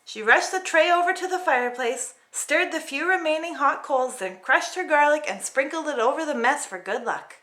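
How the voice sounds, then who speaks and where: A woman narrating a children's story in an expressive read-aloud style. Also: sits high, normal speed, hardly any pauses. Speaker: storybook reader.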